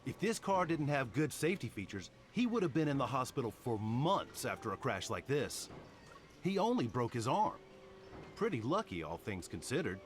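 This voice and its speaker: A man's voice: medium pitch, big pitch swings, moderate pace, soft background music, close to an everyday voice. An actor playing a chatty middle-aged male car mechanic in a romance game, folksy, casual and sympathetic. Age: 40s. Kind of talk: voiced dialogue in a romance game.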